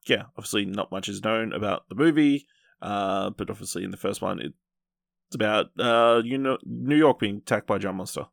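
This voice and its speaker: A man's voice: fairly low pitch, creaky, a bit bright, no echo. A man hosting a podcast, chatting casually about film and reading out news items.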